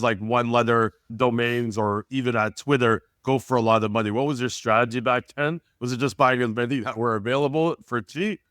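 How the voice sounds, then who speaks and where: Smooth-voiced man hosting a podcast. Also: mid-range voice, a bit bright, dry recording, studio quality. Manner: long, rambling multi-part questions. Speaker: podcast host. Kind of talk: interview questioning.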